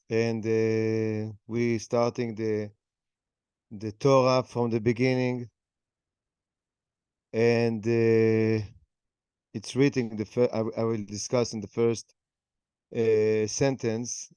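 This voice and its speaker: Slow, mellow-voiced man explaining scripture. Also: on the low side, clean tone, no echo. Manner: non-native English, halting. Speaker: participant in an online session. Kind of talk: explaining a Bible passage.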